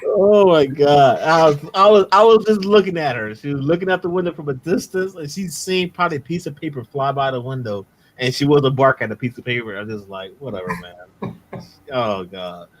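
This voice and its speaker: A man's voice: fairly high pitch, moderate pace, slight background noise. A man hosting a casual online show, talking in a laid-back slangy way with many fillers. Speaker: host. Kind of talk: casual chat, channel shout-out.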